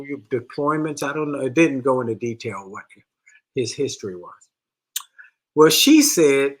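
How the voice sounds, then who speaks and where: A middle-aged man co-hosting a marriage advice show, retelling a listener's letter in an animated way and often repeating himself. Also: medium pitch. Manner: animated storytelling, repeats phrases.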